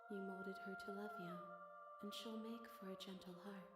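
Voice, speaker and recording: Woman voicing serene cosmic female being, measured poetic delivery, echoing voice, loud music behind. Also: medium pitch, level tone, natural voice. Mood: solemn, cryptic. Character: vast otherworldly female entity, serene, solemn and cryptic. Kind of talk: scripted visual novel voice acting.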